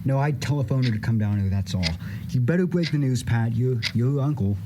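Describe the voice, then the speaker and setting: A man's voice: medium pitch, expressive, a little fast, noisy background, close to an everyday voice. A man playing a blunt, commanding police captain who interrogates in an exasperated manner, in a radio play. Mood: somber. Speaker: amateur student actor.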